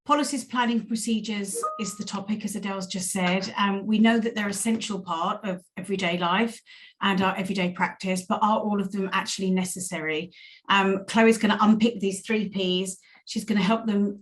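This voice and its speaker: Brief: woman, mid-pitched, upbeat webinar co-host reading an introduction. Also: poor audio. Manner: upbeat, list-reading, many fillers.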